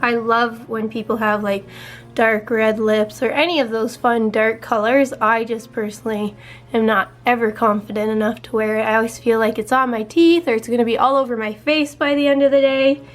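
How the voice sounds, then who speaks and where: A young woman chatting casually while doing her makeup, her voice a little raspy, walking through each step in a relaxed way. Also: a little high, moderate pace. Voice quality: slightly raspy. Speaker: beauty vlogger.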